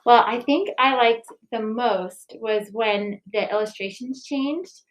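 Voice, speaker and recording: A woman in an expressive, deliberate read-aloud style, like school staff sharing a picture book and news with families. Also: fairly high pitch, rough recording. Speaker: school staff member reading aloud and presenting a recipe book project. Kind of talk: children's storybook read-aloud and school announcement.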